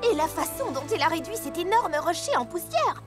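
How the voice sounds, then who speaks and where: Very high, refined cartoon pony. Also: female voice, big pitch swings, a little fast. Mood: astonished. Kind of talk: French animated series dub. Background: music.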